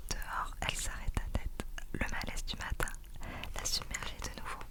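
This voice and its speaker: A woman reading a novel aloud in a soft, whispered ASMR style. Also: moderate pace. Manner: soft unvoiced whispering throughout. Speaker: ASMR reader.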